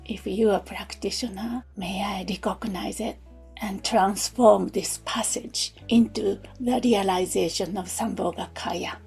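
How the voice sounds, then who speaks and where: Female meditation teacher, very breathy and mellow, unhurried and hushed, music underneath. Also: mid-range voice. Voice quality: soft, breathy, often near a whisper. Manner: gentle, unhurried, hushed with long pauses. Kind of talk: guided meditation.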